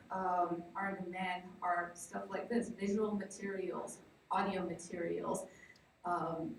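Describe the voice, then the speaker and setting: A woman from the southwestern United States giving an informal, explanatory conference presentation as a project researcher, piecing her thoughts together with pauses. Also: fairly low pitch. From Navajo Nation, southwestern United States. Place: large echoey indoor presentation room. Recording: very echoey room, distant mic, faint noise.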